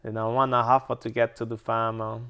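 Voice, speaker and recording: A man answers questions briefly and plainly in simple English. His voice has a gravelly edge but is smooth and resonant underneath. His pitch sits in an ordinary middle range. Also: flat delivery, fast talker, bright, forceful tone. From Brazil. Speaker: interviewee.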